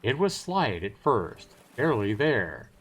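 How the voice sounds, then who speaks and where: Man doing a theatrical seafaring trader, lively pitch. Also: measured pace, soft background music. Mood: boastful, suspenseful. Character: salesman-like seafaring trader spinning yarns of the sea. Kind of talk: dramatic storytelling.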